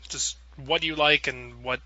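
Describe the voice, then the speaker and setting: Bright, forceful male podcast host. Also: medium pitch, fairly even intonation, normal speed, lo-fi sound. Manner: informal, wordy, rephrases his questions with examples. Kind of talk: casual panel discussion, putting questions to guests.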